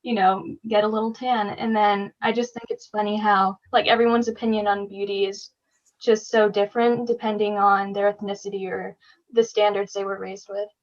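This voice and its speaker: A woman's voice: mid-range voice, level tone, moderate pace. Chatty teen girl, lo-fi audio. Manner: chatty, laughs often, lots of "like" and "you know". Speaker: podcast co-host. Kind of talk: casual chat. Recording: slightly dull lo-fi audio.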